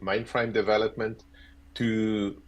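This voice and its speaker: A man's voice: lowish voice, level tone, slow pace. A South African man in his fifties, a guest talking about his career in an easygoing, self-deprecating, rambling way over earbuds on a video call. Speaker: guest, agile coach. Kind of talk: casual interview chat.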